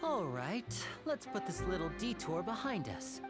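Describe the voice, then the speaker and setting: Lively, sly young male magician. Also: lowish voice, measured pace. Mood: good-humoured. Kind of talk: video game voice acting. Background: game music.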